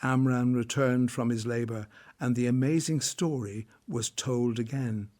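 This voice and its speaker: A man's voice: medium pitch, steady tone, unhurried, darkish tone. A man reading scripted narration as the storyteller of a drama.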